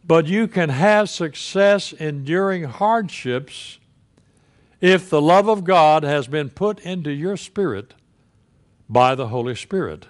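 An elderly male pastor delivers a sermon from the pulpit of a church sanctuary, with a slightly high voice. He speaks very slowly and deliberately, leaning on pauses for emphasis. The sound comes from the pulpit microphone. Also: lively intonation. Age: older.

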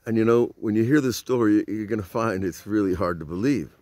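A man's voice: fairly low pitch, fairly quick, darkish tone, no room sound. An older man, a rabbi, giving an informal Torah lesson outdoors at night, filmed on a phone held at arm's length, telling the story conversationally and putting rhetorical questions to his listeners. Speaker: rabbi teaching. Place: outdoors at night by old stone walls. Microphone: phone camera at arm's length.

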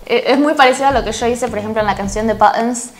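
A woman's voice: fairly high pitch, faint music behind. A talkative young woman from Argentina with an Argentine accent, chatting as a guest while reacting to videos, on a lapel mic in a living room. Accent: Argentine Spanish. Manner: bubbly, talkative, quick to laugh. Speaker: guest, former K-pop trainee. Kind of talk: casual reaction chat. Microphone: clip-on lapel mic.

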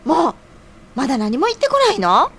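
A woman's voice: sits high, wildly swooping pitch, normal speed, a bit bright, heavily acted. A woman playing an impatient, bossy but caring friend in an audio drama, pouting and exclaiming. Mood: anxious, impatient.

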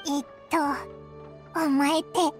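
A woman's voice: high voice, flat delivery, unhurried, faint music behind. A woman voicing a tiny, childlike fairy companion in a game, cheeky, frank and blunt. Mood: puzzled, teasing. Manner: blunt, childish, rough wording. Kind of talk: Japanese game voice acting.

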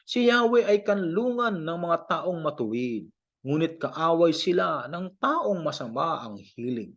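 Filipino man reciting scripture, swooping pitch. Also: very animated, measured pace, fairly bright tone. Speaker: Bible reader. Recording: dull lo-fi home recording.

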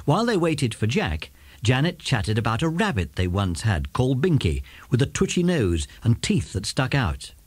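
A man's voice: mid-range voice, wildly swooping pitch, moderate pace, breathy edge, no echo. A man with an English accent reading scripted narration for a children's story as its narrator.

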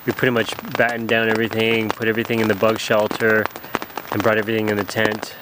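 A man's voice: lowish voice, monotone, quick pace. A middle-aged man telling a canoe trip story to a close camera, rambling and restarting his sentences. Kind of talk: casual narration to camera. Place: inside a small tent at night during a storm. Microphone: camera held close in the tent. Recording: outdoor storm noise. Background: wind, rain and pine needles on the tent.

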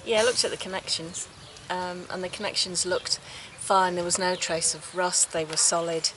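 A woman's voice: on the low side, fairly even intonation, moderate pace, background noise. A middle-aged woman co-hosting a sailing vlog, chatting casually outdoors in a boatyard with short, practical replies. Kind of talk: casual chat.

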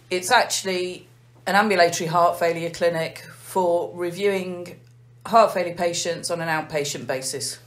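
An English woman, a nurse manager, calmly explaining a heart failure clinic in an interview. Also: fairly low pitch. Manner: measured, methodical explaining.

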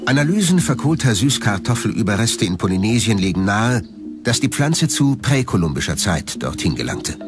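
A man's voice: lowish voice, big pitch swings, normal speed, over music. A man reading scripted narration as the narrator of a documentary.

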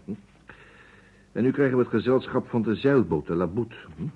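Methodical radio-play detective, low lively voice, courteous, deliberate, French interjections. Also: male voice, breathy edge. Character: methodical male private detective, courteous, unhurried, precise. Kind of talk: vintage Dutch radio play acting. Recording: muffled phone-like old radio recording.

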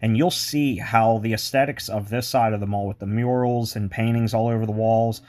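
A man's voice: lowish voice, steady tone, moderate pace, darkish tone. A man giving an enthusiastic walking tour of a mall, mixing its history with chatty asides. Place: indoor shopping mall.

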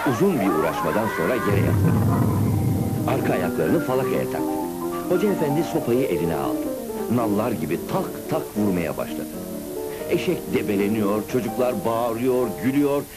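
A man narrating a cartoon story in the first person with expressive, dramatic storytelling. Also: on the low side, low-quality recording. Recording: music behind, old cartoon soundtrack. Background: music.

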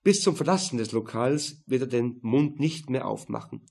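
A man's voice: mid-range voice, expressive, normal speed, darkish tone, husky. A man narrating a crime novel as a scripted audiobook.

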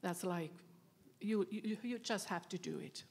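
Woman, 40s, panelist, handheld mic, thoughtful, pausing with fillers. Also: mid-range voice, gravelly, darkish tone, breathy edge. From Finland. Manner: thoughtful, frequent filler pauses. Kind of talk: panel discussion. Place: event stage with panel tables, indoors.

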